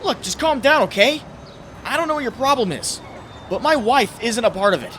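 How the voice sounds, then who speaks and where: A man's voice: very high voice, animated, normal speed, fairly bright tone. A man playing a young male superhero in a comic book dub, anguished and frantic, with a brooding, self-mocking monologue that bursts into urgency. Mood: desperate, angry. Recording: roomy reverberant voice, faint noise.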